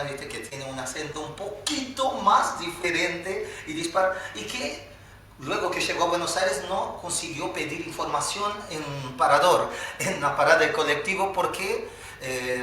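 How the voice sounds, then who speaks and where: A man's voice: fairly high pitch, bright, forceful tone, slight background noise. A man from Spain hosting a live interview, asking long, rambling questions. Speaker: host. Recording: echoey room, far from mic.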